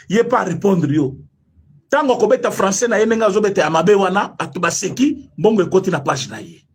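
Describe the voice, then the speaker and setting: A Congolese man with a strongly husky, breathy voice pitched high for a man. He delivers heated political commentary with a Congolese French accent. His pitch swings widely as he fires off rhetorical questions. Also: darkish tone. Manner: emphatic, rhetorical questions, mixes French and Lingala. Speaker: online commentator.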